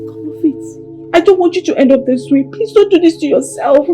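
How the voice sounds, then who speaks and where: An actress playing a fierce but loving older sister who scolds and pleads emotionally. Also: female voice, high voice, lively intonation, fast talker, warm tone, soft background music. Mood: tearful pleading.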